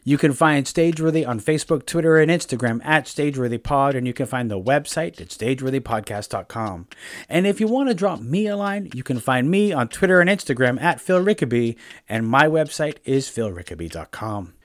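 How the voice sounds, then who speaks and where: A man's voice: mid-range voice, expressive, fairly quick, dry recording. A man hosting a theater podcast, reading plugs and then chatting with his guest. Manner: reads sponsor spots, then chatty and encouraging. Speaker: podcast host. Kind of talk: interview.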